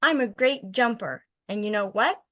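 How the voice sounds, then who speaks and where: Lady doing a little boy from a picture book, eager but nervous, higher voice. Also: animated, normal speed, fairly bright tone, no echo, clean studio sound. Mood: proud, excited. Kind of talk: storybook read-aloud.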